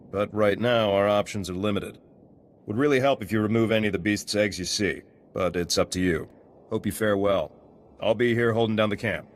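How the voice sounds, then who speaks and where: Gruff male ruins guard for a fantasy game, man acting, lowish voice, terse, dutiful, plain-spoken. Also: lightly acted. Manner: terse, clipped sentences. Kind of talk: video game dialogue acting.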